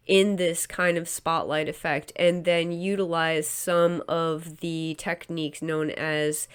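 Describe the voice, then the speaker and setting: Low, smooth-toned woman with a head cold, congested and nasal, relaxed and chatty, a painter narrating her own tutorial. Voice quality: stuffy, nasal. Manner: relaxed and chatty, hedges with frequent "kind of" and "um". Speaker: painter demonstrating her work. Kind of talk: casual tutorial voice-over. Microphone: voice-over recorded separately from the footage.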